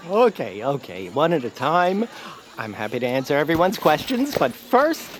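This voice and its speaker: A man playing a sensitive, awkward actor in an audio drama, flustered and halting. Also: on the high side, huge pitch swings, a crowd in the background. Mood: fumbling. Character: awkward, sensitive male actor facing fans.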